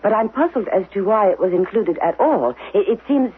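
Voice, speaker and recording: An actress playing a timid, conditioned administrator in a futuristic radio drama, hesitant, stammering and apologetic. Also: female voice, medium pitch, lively intonation, brisk, breathy edge. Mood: timid, flustered. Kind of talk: 1970s radio drama acting. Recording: muffled old radio broadcast.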